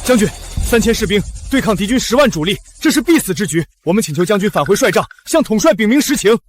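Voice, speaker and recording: Anxious officer, very high-pitched. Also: male voice, sing-song, quick pace. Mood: alarmed. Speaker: actor in a costume short drama. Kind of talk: period war drama acting. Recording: dramatic music under the dialogue.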